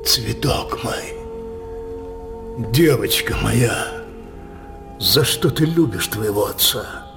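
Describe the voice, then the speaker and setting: An actor playing a brooding older king obsessed with his daughter, declaiming theatrical verse in a halting, impassioned manner for a radio play. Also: medium pitch, expressive, creaky, music in the background. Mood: hushed, anguished.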